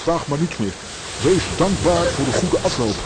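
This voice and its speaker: Man acting a lively, confident adventurer. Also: fairly high pitch, moderate pace, heavy background noise, natural voice. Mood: dismissive. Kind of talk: children's radio play acting.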